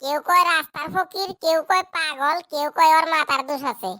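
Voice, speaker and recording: A woman voicing a sulky, mischievous schoolboy in a cartoon, rough-spoken. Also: high-pitched, normal speed, very noisy, close to an everyday voice. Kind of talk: cartoon dub acting.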